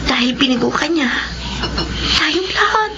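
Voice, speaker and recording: A woman's voice: sits high, lively intonation, measured pace. An actress playing a young sister who worries about her older brother, speaking earnestly and pleadingly in a Filipino radio drama. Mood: worried. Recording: dull, noisy radio drama audio.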